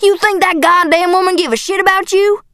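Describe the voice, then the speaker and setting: A woman voicing a bitter, bullying middle-aged Southern father in an audiobook, gruff and profane in manner. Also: on the high side, steady tone, moderate pace, a bit bright, dry recording, studio quality, natural voice. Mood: angry, scornful. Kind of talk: audiobook character acting.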